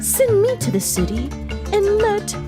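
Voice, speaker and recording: A woman's voice: extremely high pitch, huge pitch swings, a little slow, over music, pitched above the performer's own voice, heavily acted. A woman voicing a vain, falsely sweet young woman in a children's folktale, pleading in a sugary, dramatic way. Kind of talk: read-aloud children's folktale.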